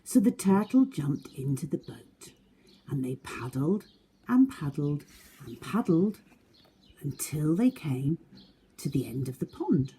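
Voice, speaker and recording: A woman reads a children's story with lots of expression. Her voice is lowish and mellow, rising and falling freely, with birdsong and faint noise behind her. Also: big pitch swings, takes it slowly. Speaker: storyteller, teacher.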